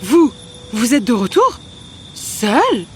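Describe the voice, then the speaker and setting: A woman's voice: on the high side, big pitch swings, takes it slowly, a little hiss or hum. Cold, bitter storybook mother played by a woman. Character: cold, hard mother abandoning her children. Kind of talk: fairy tale cartoon voice acting.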